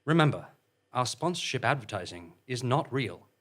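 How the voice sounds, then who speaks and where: Male podcast host, bright forceful voice, very lively intonation, mock-grave scripted comedy announcement. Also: medium pitch, moderate pace.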